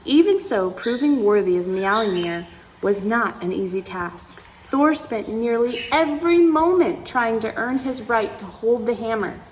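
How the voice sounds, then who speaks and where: A woman's voice: on the high side, expressive, a little slow, a little mellow, a little hiss or hum, poor audio. A woman reading a picture book aloud to children, with playful asides and dramatic emphasis, speaking straight to her young listeners.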